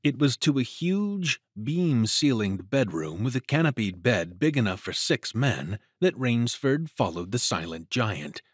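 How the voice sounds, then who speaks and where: A man narrates a dramatic story in a husky, mid-pitched voice. His delivery is very expressive, in a close, dry recording. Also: a little slow, hardly any pauses, no room sound.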